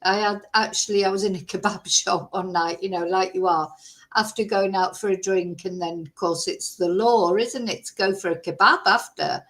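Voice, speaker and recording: A woman from Manchester with a softened Mancunian accent, hosting a casual true-crime livestream, chatty and rambling, greeting viewers by name. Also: mid-range voice, steady tone, normal speed. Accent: softened Mancunian English. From Manchester, England.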